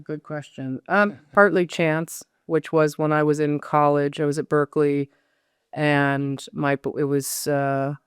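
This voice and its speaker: A woman's voice: sits low, fairly even intonation, measured pace, clear, resonant tone, no echo, clean studio sound. A middle-aged American woman talking as an interview guest in a thoughtful, self-questioning, digressive way. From United States. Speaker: novelist, podcast guest. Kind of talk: reflective conversational interview.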